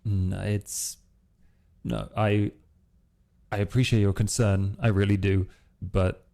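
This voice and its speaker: A man's voice: fairly low pitch, gravelly, mellow. A man playing a guarded, self-assured vampire hacker in improvised role-play, understated and stubborn, with hesitant phrasing.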